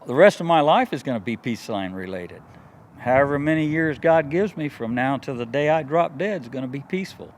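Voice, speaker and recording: A man's voice: mid-range voice, expressive, brisk. A man in his sixties talking casually and earnestly, telling his story in a folksy way. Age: 60s. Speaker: interviewee, airport worker and pilot. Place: outdoors in a grassy wooded clearing. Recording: noisy outdoor field recording, faint vehicle hum.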